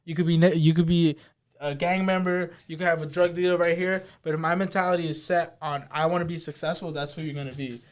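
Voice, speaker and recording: A man's voice: a little high, quick pace. Young, emphatic man. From Brentwood, New York. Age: young adult. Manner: animated, emphatic, storytelling. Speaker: real estate broker, guest. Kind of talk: casual interview chat.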